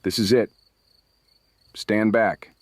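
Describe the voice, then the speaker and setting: A man's voice: on the low side, fairly even intonation, slow pace, no room sound. A man voicing a composed male community leader who gives clipped, commanding instructions in a noir audio drama. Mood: terse. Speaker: council leader.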